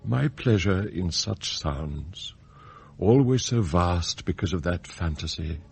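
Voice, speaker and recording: An actor playing a formal, measured butler in a radio drama, smooth, scheming and bitterly envious. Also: male voice, low voice, expressive, darkish tone, full character voice. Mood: anguished longing. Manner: formal, measured, deferential to employers. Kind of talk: radio drama acting with confiding monologue.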